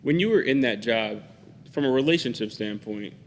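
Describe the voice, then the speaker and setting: Man, podcast host, upbeat, laughs often, mid pitch, reverberant room. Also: expressive, moderate pace, a little hiss or hum. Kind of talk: interview hosting. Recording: roomy reverb.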